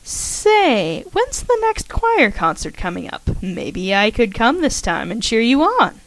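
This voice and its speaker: A woman reading as a bright, teasing college girl. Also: a little high, wildly swooping pitch, slow, heavily acted, low-quality recording. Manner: playful, upbeat. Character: friendly teasing young woman college student.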